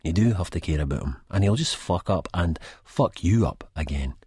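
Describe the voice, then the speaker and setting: A man's voice: low-pitched, expressive, moderate pace, a little mellow, husky, no echo, studio quality, heavily acted. A man with a Scottish accent playing a wry, reflective, disillusioned first-person narrator in an audiobook. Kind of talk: first-person literary narration, character acting.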